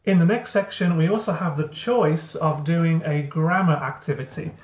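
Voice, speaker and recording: A man, the founder of a language app, walking through his software step by step in an explanatory way, with frequent uh and um. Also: a little high, normal speed. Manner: explanatory, many uh and um fillers. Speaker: software founder giving a tutorial. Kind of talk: screen-recorded software walkthrough. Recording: roomy, dull lo-fi.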